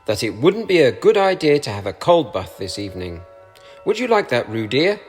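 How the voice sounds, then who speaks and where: A man's voice: mid-range voice, sing-song, normal speed, husky, long reverb tail, soft background music. A man voicing a motherly female kangaroo from a storybook, soothing yet firm and sweetly condescending. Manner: sweetly condescending, mock-thoughtful, firm scolding.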